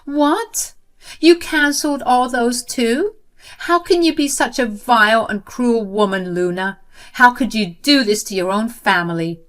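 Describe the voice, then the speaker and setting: A woman voicing an entitled sister-in-law, shrill and frantic. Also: normal speed, hardly any pauses, fairly bright tone, lightly acted. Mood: outraged.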